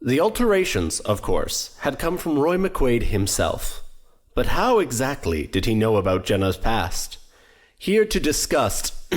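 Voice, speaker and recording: An actor playing a wry documentary host, narrating an audio drama formally and with dramatic emphasis. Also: male voice, mid-range voice. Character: theatrical, wry male documentary host narrating with mock gravity. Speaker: narrator and presenter. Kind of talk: scripted narration, true-crime documentary parody.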